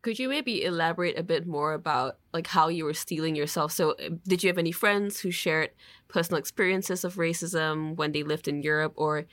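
A woman hosts a podcast, asking questions and giving listeners background in a measured way. Her voice is low for a woman, and it sounds dry and close to the mic. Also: creaky, a bit bright, no room sound. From Singapore. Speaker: podcast host. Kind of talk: podcast interview.

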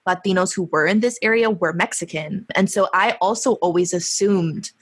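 A woman's voice: on the low side, normal speed, slightly rough. A woman from San Diego in a casual talk, reflecting on her own experience. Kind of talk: casual chat.

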